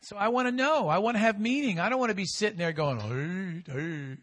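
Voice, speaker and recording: A man preaching a sermon in a voice a little higher than most men's. He leads the congregation in call-and-response, rising loud and then dropping to a hush, and stretches out his key phrases. The sound rings with the long reverb of a big hall. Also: expressive, a little fast. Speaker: pastor.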